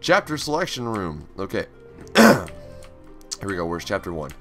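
A man with a lowish, slightly raspy voice chats through a video game playthrough, reading text aloud. His pitch swings a lot as he reacts. Game music plays faintly underneath. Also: huge pitch swings, moderate pace, fairly bright tone. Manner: chatty, reads on-screen text aloud, upbeat. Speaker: gaming channel host. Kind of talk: casual let's play commentary.

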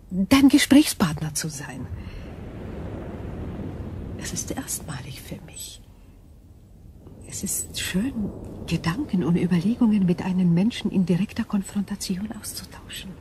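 A woman acting an ancient, calm and thoughtful alien being in a radio drama, speaking in measured, formal phrases. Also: fairly low pitch, slight background noise. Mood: calm, reflective.

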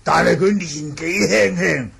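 A proud, hearty wuxia drinker in an old Cantonese radio play, Hong Kong accented. The voice is gravelly and slow, with bluff, theatrical delivery. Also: male voice, a little high, a bit bright, full character voice. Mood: amused, incredulous. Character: hearty, proud wine-loving martial manor lord. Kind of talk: 1980 Cantonese radio drama acting.

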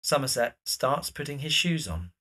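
A man's voice: mid-range voice, lively intonation, normal speed, pushed and bright. A man narrating scripted stage directions for an audio drama.

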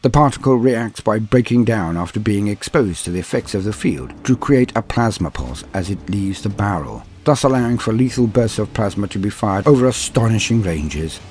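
A man reading scripted fictional lore as a narrator, with a measured, formal and dramatic delivery. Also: lowish voice, expressive, darkish tone, slight background noise. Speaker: narrator and host of a science fiction lore guide. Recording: clean voice-over over faint music. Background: faint background music.

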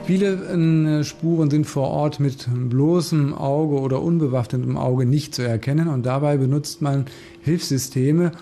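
A man's voice: fairly high pitch, a little slow, mellow, faint music behind. A man, a forensic expert, giving a formal explanation in an interview.

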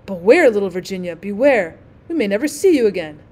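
A woman's voice: medium pitch, very animated, a little fast, darkish tone. Woman voicing ominous goggle-eyed carved creature. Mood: ominous warning. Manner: ominous, urgent warning. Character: horrible goggle-eyed carved lizard-tailed animals, foreboding warners. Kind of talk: storybook character reading.

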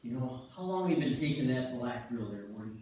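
A man's voice: medium pitch, animated, fast, warm tone, highs cut off, lightly acted. A man playing a cautious, folksy ice fisherman in an amateur reader's theater performance. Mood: brisk, checking. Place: on stage in a church, audience seated. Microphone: far camera. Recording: echoey church hall, distant camera, faint noise.

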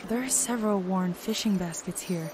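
An actress playing an earnest, calm young spirit guide in a video game, thoughtful and soft-spoken. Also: female voice, mid-range voice, moderate pace, background noise, natural voice. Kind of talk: scripted video game dialogue.

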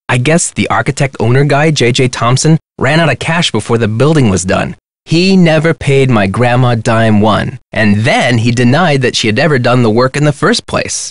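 An actor playing a brash young activist in a video game, sarcastic, slangy and preachy. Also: male voice, medium pitch, dry recording, studio quality, lightly acted. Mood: aggrieved. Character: brash young male activist, sarcastic crusader against corporations.

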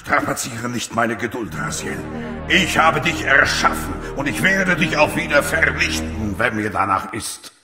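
A man playing an ancient, cruel tentacled god in a video game, solemn, pompous and commanding, with a booming reverb effect. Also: animated, a little slow. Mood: menacing, commanding. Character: ancient menacing male parasitic god, imperious, tentacled, cruel. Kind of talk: dramatic video game acting. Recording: long cavernous reverb, music underneath.

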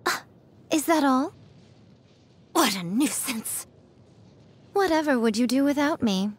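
An actress playing a sly, haughty shrine maiden trickster in a video game, teasing, smug and coy. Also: female voice, high-pitched, lively intonation, slow, husky, heavily acted. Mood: smug, amused. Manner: smug, coy, drawling amusement. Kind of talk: English video game character voice lines.

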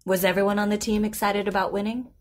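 An actress playing a patient, professional therapist in an audio drama, asking questions in a measured, careful way. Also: female voice, medium pitch, little pitch movement, lightly acted. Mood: composed, pleasant. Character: composed, patient woman therapist, probing and professional. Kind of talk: naturalistic audio drama dialogue.

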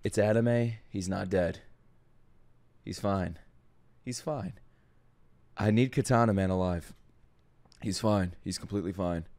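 A young man streaming his reaction to an anime at home, cracking crude jokes and breaking into sudden loud outbursts. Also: low voice, a little slow, long pauses. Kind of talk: live reaction commentary. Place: home streaming room with gaming chair. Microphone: studio mic on boom arm, headphones on. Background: anime soundtrack playing faintly.

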